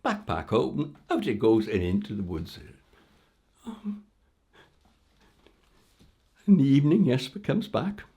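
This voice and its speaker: A man telling a folk tale aloud in an informal, theatrical way with dramatic pauses. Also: medium pitch, huge pitch swings, dark tone, husky. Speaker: storyteller. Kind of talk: animated oral folk-tale storytelling.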